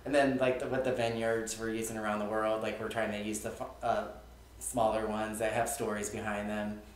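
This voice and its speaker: A man, a restaurant co-owner, answering interview questions in a relaxed, rambling way full of "like". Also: on the low side, brisk, a little hiss or hum. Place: small studio on a couch. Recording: echoey room.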